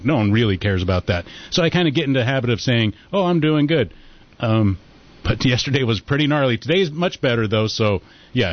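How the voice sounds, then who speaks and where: A man's voice: mid-range voice, muffled recording. A man hosting a radio show, chatting casually and calling his guest "dude", sore from bruised ribs. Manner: laid-back, says "dude" often.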